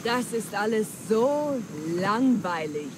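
An actress playing a wily, flattering pirate treasure hunter in a dramatic German game dub, with theatrical, coaxing delivery. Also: female voice, on the high side, takes it slowly. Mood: evasive. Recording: clear ambient noise.

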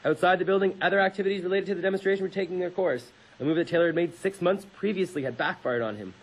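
Husky, higher-voiced young male radio host. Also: rapid-fire, poor audio. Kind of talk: reading aloud and casual radio chat.

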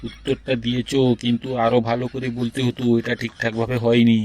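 A man's voice: medium pitch, flat delivery, quick pace, darkish tone, no echo, lightly acted. A man voicing a strict, impatient education minister who quizzes pupils in a comedy cartoon. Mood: brisk, testing. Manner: bossy, interrogating.

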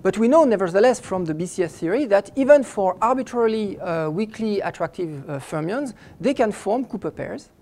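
Halting middle-aged man lecturing physics. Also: high voice, lively intonation, darkish tone. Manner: halting, stops and restarts phrases often while explaining. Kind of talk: academic lecture. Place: lecture hall, indoors.

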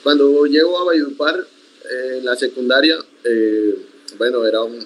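A young man from the Colombian Sierra Nevada whose first language is Iku, calmly answering interview questions over a video call from a room at home. He talks in a reflective way and often restarts his sentences. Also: mid-range voice, poor audio. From Sierra Nevada de Santa Marta, Colombia. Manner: measured, reflective, with frequent restarts. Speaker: interview guest, accordionist. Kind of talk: conversational interview.

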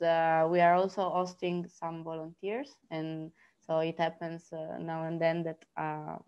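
A woman from Italy introducing herself on a video call, halting with many fillers. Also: deep voice, a little mellow, muffled recording. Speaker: workshop participant.

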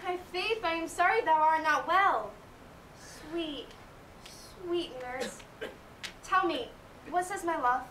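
An impatient, lovesick teenage girl acted by a woman with a very high voice and slow, declamatory verse delivery. It is Shakespearean stage acting, heard distantly in an echoey room. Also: fairly bright tone, exaggerated voice. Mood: pleading. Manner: pleading, declamatory. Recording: echoey room, distant mic, faint noise.

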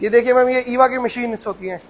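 A man showing visitors around a footwear factory, pitching its products with eager, insistent promises. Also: fairly even intonation, a little fast. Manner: eager salesman, keeps inviting and promising quality. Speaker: footwear factory owner giving a tour. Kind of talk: sales pitch factory tour. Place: footwear factory floor, indoors. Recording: noisy factory machinery behind.

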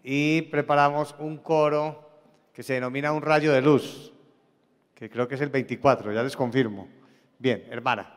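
A man's voice: medium pitch, steady tone, normal speed. A man leading a church service, addressing the congregation between testimonies. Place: large church hall during a service. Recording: echoing large hall, faint congregation sounds.

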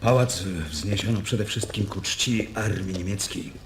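An actor playing an outspoken, talkative German officer in a Polish radio drama, with a declamatory yet conversational delivery. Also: male voice, fairly low pitch, fairly even intonation, measured pace, noisy background. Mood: musing, outspoken.

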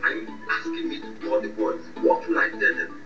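An actor with a Nigerian accent playing an exasperated, alarmed young friend in a radio drama. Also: male voice, fairly quick, natural voice. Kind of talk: radio drama acting in Pidgin English. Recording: distant, echoey, dull audio over music.

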